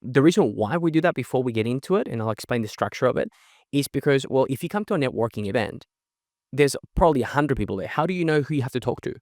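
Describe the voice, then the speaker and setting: A young man whose first language is Spanish, with a mixed Spanish and Australian accent, talking as a podcast guest in an energetic, emphatic way and often ending with "right?". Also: medium pitch, dry recording, studio quality. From Chile. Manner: fast, emphatic, leans on "right?" tags. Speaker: podcast guest, networking event organizer. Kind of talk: energetic casual interview talk.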